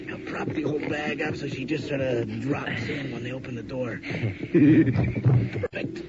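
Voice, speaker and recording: A man's voice: medium pitch, fairly even intonation, moderate pace, natural voice. An actor playing a brash, cynical young male medical student who mocks and pushes, often in a whisper. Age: young adult. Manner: mocking, pushy, often whispering. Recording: dull radio play with loud noise.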